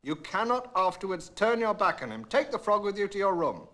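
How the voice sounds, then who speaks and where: A stern storybook king and father, played by a man. He speaks with measured authority and principle, his pitch fairly high and moving widely for emphasis. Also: very animated, pushed and bright, heavily acted.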